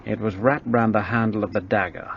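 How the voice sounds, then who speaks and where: An actor playing a brusque, arrogant police detective in a radio play, speaking in a curt, commanding way. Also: male voice, on the low side, fairly even intonation, a little fast, creaky, muffled recording, slight background noise.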